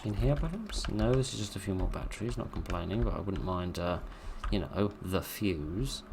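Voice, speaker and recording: A deep, low-pitched man, his voice worn hoarse after a long session, talks his way through a game in a dry, wry ramble. Keyboard typing is clearly heard in the background of his desk recording. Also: lively intonation, normal speed, noisy background. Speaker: gameplay commentator. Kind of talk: let's play commentary.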